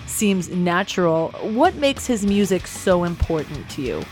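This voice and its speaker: A woman's voice: fairly low pitch, very animated, unhurried, darkish tone, soft background music. A woman hosting a radio show, giving polished announcer-style intros and asking her guest questions. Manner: upbeat, polished announcer delivery with some hesitant restarts.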